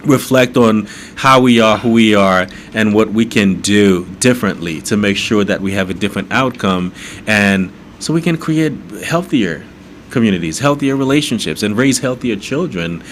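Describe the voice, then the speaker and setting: A man from Haiti with a lowish voice, talking earnestly as a podcast guest. He keeps checking in with a "right?" and restarts his sentences as he goes. A faint noisy hum sits behind him. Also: animated, moderate pace. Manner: earnest, repeats "right?" often, stumbles and restarts. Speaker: guest author. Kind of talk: conversational podcast interview.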